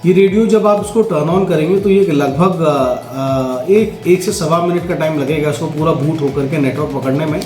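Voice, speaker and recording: A man with a soft, mellow tone explains a product step by step. He talks casually and instructively, straight to the viewer. The room is noticeably echoey, with faint music underneath. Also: on the high side, normal speed, hardly any pauses. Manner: instructional, addresses viewers directly with frequent check-in tags. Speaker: product demonstrator. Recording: roomy echo, faint music.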